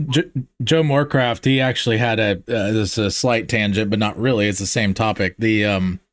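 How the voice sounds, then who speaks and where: A man's voice: fairly low pitch, fairly even intonation, fairly quick, dry recording, clean studio sound. A man hosting a casual podcast, telling a story in a rambling way with restarts and tangents.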